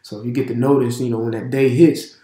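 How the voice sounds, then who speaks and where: A man teaches a casual video lesson with a cold-stuffed nose. He rambles loosely, full of fillers and restarts, at an ordinary middle pitch. His voice rings around a roomy, echoing space. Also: flat delivery, quick pace, warm tone. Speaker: religious language teacher. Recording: echoey room.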